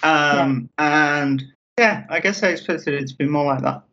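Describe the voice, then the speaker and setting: A man from England talks through his answers in a casual interview. He halts often, restarting phrases with ums. His voice sits a bit high, and the recording sounds dull and lo-fi. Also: pushed and bright. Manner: halting, with frequent ums and restarts. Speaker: interview guest.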